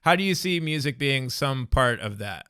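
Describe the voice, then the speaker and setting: Bright, crisp male podcast host. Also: medium pitch, animated, normal speed, no room sound, studio quality. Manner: upbeat, affirming, paraphrases answers back. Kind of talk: friendly interview, podcast outro.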